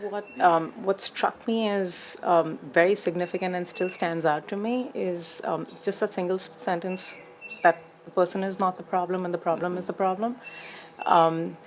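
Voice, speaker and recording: A woman's voice: fairly low pitch, fairly even intonation, moderate pace, a little hiss or hum, poor audio. A woman from India, a narrative therapist talking in a thoughtful, reflective way, often saying "you know". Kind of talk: reflective conversation.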